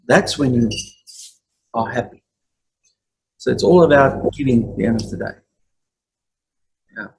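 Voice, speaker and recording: A man's voice: mid-range voice, expressive, a little slow, pauses often, noisy background. Earnest man leading a video-call study group. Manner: earnest, repetitive affirmations. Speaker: Bible study teacher. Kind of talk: online study group discussion.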